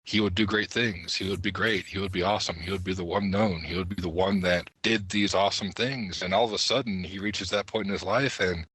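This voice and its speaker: A man's voice: low-pitched, fairly even intonation, fairly quick, hardly any pauses, a bit bright, husky, low-quality recording. A man on a podcast panel, chatting casually and informally. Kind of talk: casual chat.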